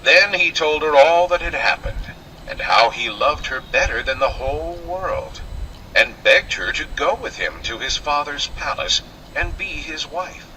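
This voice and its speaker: A man's voice: fairly high pitch, expressive, unhurried, pushed and bright, a little hiss or hum, poor audio. A man narrating a scripted fairy tale in a dramatic, old-fashioned storytelling manner.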